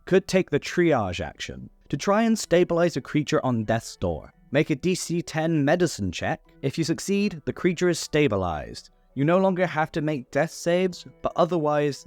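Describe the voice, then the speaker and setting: A man's voice: medium pitch, a little mellow, dry recording. A man narrating a scripted tutorial on tabletop game rules, recorded as a close voice-over. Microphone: close voice-over microphone.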